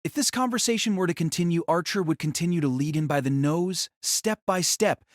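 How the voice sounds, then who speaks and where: A man's voice: on the high side, brisk, dry recording, clean studio sound. A man narrating a novel as an audio drama in a dramatic scripted style.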